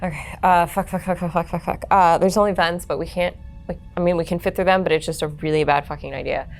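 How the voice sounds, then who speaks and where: A woman's voice: on the low side, fairly even intonation, fast talker, faint music behind. A woman chatting casually as a player in a tabletop role-playing game.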